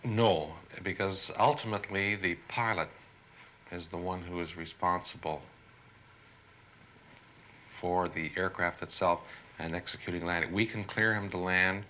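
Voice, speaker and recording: A man with a low, sharp-edged voice talks about his work in an interview. He speaks in deliberate, broken phrases as an air traffic controller. The sound is a 1970s archive television recording with faint noise. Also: steady tone, low-quality recording. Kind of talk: interview, recounting work experience.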